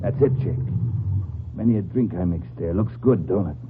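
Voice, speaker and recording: A man's voice: sits low, moderate pace, natural voice. An actor playing a sneering, bullying gangster in a 1950s radio crime drama, with slangy tough-guy talk. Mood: gruff, commanding. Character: menacing, sneering male gangster, bullying and impatient. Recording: muffled, noisy old 1950s radio recording.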